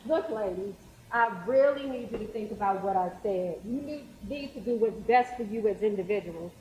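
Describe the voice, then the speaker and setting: A woman playing a caring but firm high school coach, giving emphatic advice in a stage play. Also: expressive, normal speed, darkish tone, natural voice. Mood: firm, advising. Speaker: stage actor. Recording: very echoey, noticeable background noise.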